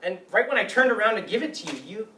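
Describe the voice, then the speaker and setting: Stage drama: male actor as hurt, brooding young man, bright tone, distant roomy recording. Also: high voice, animated, fast. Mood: bitter. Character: wounded, bitter young man, anxious and brooding. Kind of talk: live stage play reading. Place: echoey room, live staged reading. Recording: roomy, distant mic with faint noise.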